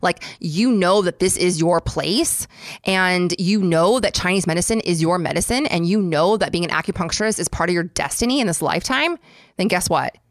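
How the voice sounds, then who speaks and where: A woman's voice: on the low side, normal speed, no echo, studio quality. A woman from California, an acupuncturist in her thirties, talking casually and animatedly with lots of fillers and restarts. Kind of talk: casual podcast chat.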